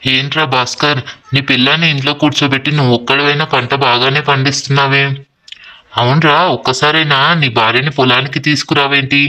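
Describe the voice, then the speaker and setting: Prying village uncle and farmer , played by a man, familiar and teasing, bright mid-pitched voice, moral story. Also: level tone, fast talker, lightly acted, low-quality recording. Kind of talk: acted Telugu moral story dialogue.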